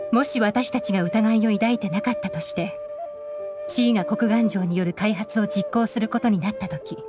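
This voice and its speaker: An actress playing a composed, shrewd young woman investigator in a game, explaining things in a measured way. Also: mid-range voice, natural voice. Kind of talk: Japanese game voice acting. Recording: game music behind the voice. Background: background game music.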